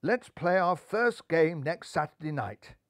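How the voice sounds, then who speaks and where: A man's voice: fairly high pitch, expressive, unhurried, no room sound, studio quality. A man voicing a kindly, wise old male rabbit who encourages the young ones in a storybook. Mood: ready, keen.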